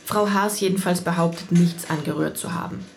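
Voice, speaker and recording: A woman playing a composed police inspector in a radio play, speaking in a measured, factual way with a gravelly edge. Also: fairly low pitch, steady tone. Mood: matter-of-fact. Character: composed, matter-of-fact female police inspector. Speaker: voice actor in an audio drama. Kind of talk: German radio play acting.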